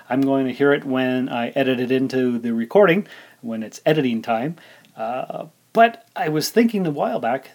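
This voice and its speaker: A man in his forties hosting a solo podcast, talking informally and thinking aloud as he rambles along. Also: medium pitch. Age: 40s. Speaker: podcast host. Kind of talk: casual solo podcast monologue.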